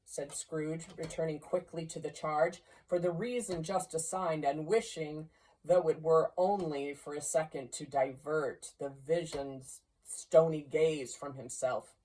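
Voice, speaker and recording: A woman narrates a literary story expressively at an unhurried pace. Her voice is on the low side and sits some way back from the microphone. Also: steady tone, warm tone, recorded from a distance, low-quality recording. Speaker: story reader.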